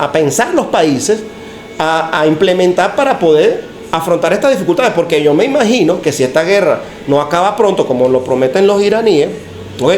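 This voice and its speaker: A man hosting a podcast, asking long questions in a relaxed, conversational way. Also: high voice. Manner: conversational, asks long questions, uses fillers like "ok?" and "este". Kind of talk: casual podcast discussion. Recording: echoey room with faint noise.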